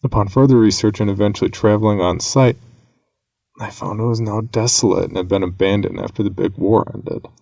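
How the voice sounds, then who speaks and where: Male horror storyteller, lowish and fry-laden, solemn, deliberate, pausing for effect. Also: steady tone, dark tone, no room sound. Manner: measured, grave, dramatic pauses. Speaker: narrator. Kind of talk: scripted horror story narration.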